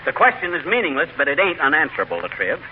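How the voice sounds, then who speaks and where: Vain folksy american hubby, 1940s radio comedy, voice swoops around, dull old sound. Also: male voice, on the high side, huge pitch swings, quick pace, very noisy. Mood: folksy, chatty. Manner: folksy, eager, slangy. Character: folksy, vain, bragging middle-aged husband with new mustache.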